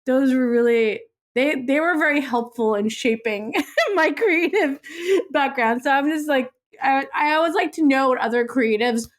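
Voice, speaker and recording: Chatty podcast host woman, higher voice. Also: normal speed. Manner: chatty, lots of "like" fillers. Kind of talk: casual interview chat.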